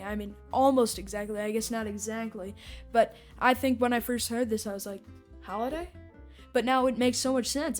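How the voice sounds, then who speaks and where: A woman hosts a casual commentary with a fairly high voice. She rambles, circling back to repeat her points. Music plays under her speech. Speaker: podcast host and commentator reacting to clips. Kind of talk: casual fan-theory commentary.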